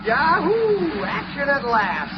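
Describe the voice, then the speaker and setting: An actor playing a brash, cocky, hot-headed young superhero in a 1970s radio drama. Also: male voice, extremely high pitch, slow pace, heavily acted. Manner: brash, excitable, taunting. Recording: muffled, noisy old radio recording.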